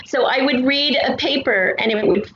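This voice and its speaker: A woman's voice: high voice, normal speed, a bit bright. A woman speaking as an interview guest, explaining her ideas casually and with assurance. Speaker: guest, audio drama writer. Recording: roomy, dull, noisy remote connection.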